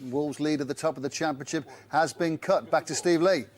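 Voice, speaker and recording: A man's voice: a little high, a little fast, slight background noise. A man presenting live football results from a studio, linking to match reporters.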